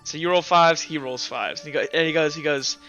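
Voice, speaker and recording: A man runs a tabletop roleplaying game in a high, bright voice. He improvises the scene haltingly, often restarting and repeating phrases. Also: dull audio, soft background music. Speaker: game master.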